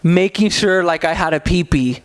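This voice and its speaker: A man in his mid-twenties chatting as a podcast host in a casual, gossipy way, animated and sassy with lots of slang. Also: sits high, moderate pace.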